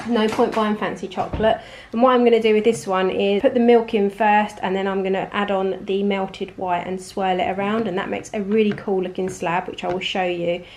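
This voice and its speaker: A woman in her forties with an English accent, hoarse from a cold, chatting upbeat through a home recipe tutorial. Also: medium pitch, little pitch movement, fairly quick, a little mellow, slight background noise. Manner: chatty and upbeat, rambling asides. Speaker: home cooking vlogger.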